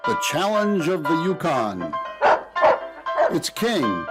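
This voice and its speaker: A man's voice: a little high, huge pitch swings, slow pace, over loud music. A man announcing and narrating in a dramatic, theatrical old-time radio style.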